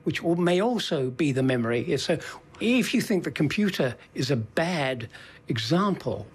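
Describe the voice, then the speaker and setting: A man's voice: fairly high pitch, big pitch swings, moderate pace, slightly rough. An older man interviewing in a conversational way, probing and thinking aloud as he puts his questions.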